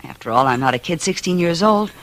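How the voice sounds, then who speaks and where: A woman's voice: on the low side, animated, quick pace, fairly bright tone. A woman playing a wistful, secretive young woman in a doomed romance, earnest and hesitant in 1940s radio drama style. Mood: earnest, confiding. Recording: vintage radio recording with music underneath.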